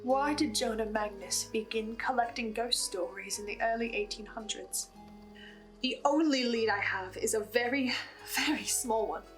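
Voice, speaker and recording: High-voiced woman in a theatrical monologue, over music. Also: moderate pace, low-quality recording. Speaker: host of a listener's video log. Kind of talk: dramatic scripted monologue, in-character video essay. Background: music behind the voice.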